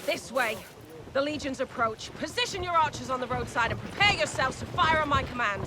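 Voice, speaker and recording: An actress playing a fierce barbarian warrior leader in a video game cutscene, commanding and forceful. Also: female voice, sits high, expressive, a little fast, noisy background.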